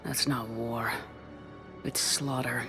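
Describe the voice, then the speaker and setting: A female military commander in a sci-fi game. She sounds composed and resolute, direct yet supportive, with some long reverb and music clearly underneath. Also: very deep voice, flat delivery, slow pace. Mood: sober. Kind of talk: video game voice acting.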